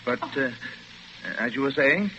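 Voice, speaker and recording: Smug schemer on noisy old radio. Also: male voice, mid-range voice, expressive, unhurried, bright, forceful tone. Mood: smug, dismissive. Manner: pompous, condescending, drawling asides with sly chuckles. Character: smug, coldly mocking man hiding a crime. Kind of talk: golden age radio drama acting. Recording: dull, noisy vintage radio recording.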